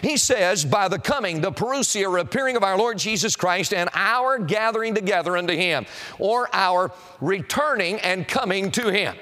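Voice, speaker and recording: High-pitched man preaching an emphatic sermon. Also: expressive, normal speed, sharp tone. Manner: emphatic, declamatory, solemn warning. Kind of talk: evangelistic sermon to a congregation. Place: auditorium, preaching to a seated congregation.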